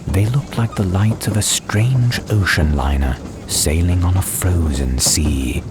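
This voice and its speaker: A man reading a dramatized storybook as an audiobook narrator, with measured, deliberate phrasing. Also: deep voice, over music. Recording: clear ambience and train noise behind. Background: soundscape of train and ambience.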